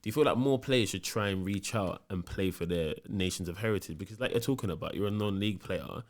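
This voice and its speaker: A man's voice: deep voice, fast. A young man hosting a podcast, chatty and slangy, backing the guest with "yeah, yeah". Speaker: podcast host. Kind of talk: casual podcast interview.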